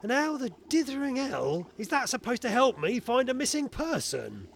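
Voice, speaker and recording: A man's voice: on the high side, very animated, a little slow, gravelly, slight background noise, heavily acted. A man playing an exasperated, self-important Victorian police inspector who stammers and gets indignant in an audio comedy. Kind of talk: scripted audio comedy acting.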